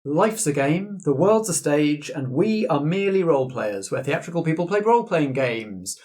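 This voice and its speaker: A man's voice: on the high side, expressive, normal speed, a little mellow. A man, hosting a tabletop role-playing debrief as game master. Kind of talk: hosting an actual play debrief.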